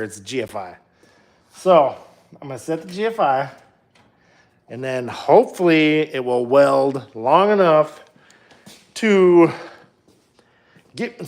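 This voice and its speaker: A man in his thirties from Minnesota with a Minnesotan accent, chatting casually on a live stream while working in his home garage, rambling and self-deprecating, talking into a phone. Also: mid-range voice. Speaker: livestreaming truck builder. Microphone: phone held at arm's length, later set down away.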